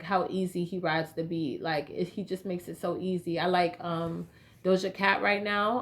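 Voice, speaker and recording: A young woman from North Carolina, a guest rapper chatting casually in slang with lots of "you feel me". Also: lowish voice. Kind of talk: casual interview chat.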